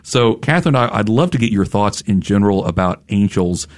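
A man co-hosting a radio fundraiser, chatty and quick to laugh while he reads out pledge details. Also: on the low side, animated, no echo, clean studio sound. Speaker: radio host. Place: radio studio.

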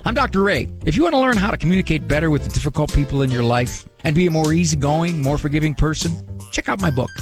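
Male author pitching on radio, higher voice, very lively intonation, scripted. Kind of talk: scripted promotional read.

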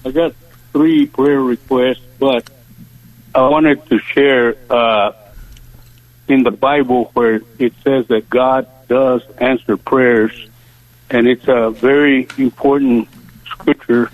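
A man calling into a prayer radio show, speaking slowly and devoutly about scripture, with many pauses. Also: medium pitch, fairly even intonation, dry recording. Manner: slow, deliberate, devout, pauses often. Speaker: caller. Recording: tinny phone line.

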